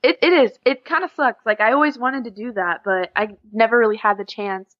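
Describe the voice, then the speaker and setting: A woman's voice: on the high side, fairly quick. Young American woman, dull lo-fi sound. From USA, small town in Oklahoma. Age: teens. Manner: informal, frequent "like" as filler. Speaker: interview guest, exchange student. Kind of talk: casual chat.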